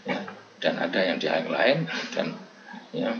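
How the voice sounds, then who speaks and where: A man giving an explanatory lecture on Islamic studies, halting, with many fillers and restarts. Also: deep voice, very animated, fairly bright tone. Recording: echoey, dull, crowd noise behind.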